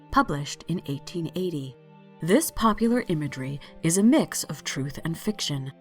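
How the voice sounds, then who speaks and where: A woman host reading a scripted solo podcast episode in a calm, measured way. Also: low voice. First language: not French.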